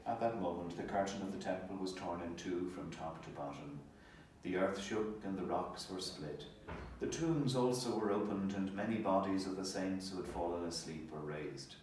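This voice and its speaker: A man reading the Gospel solemnly as narrator, in a measured, formal manner. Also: low voice, darkish tone. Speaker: clergy reader, Gospel narrator. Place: hospital chapel. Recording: echoey, distant mic, faint ambient noise.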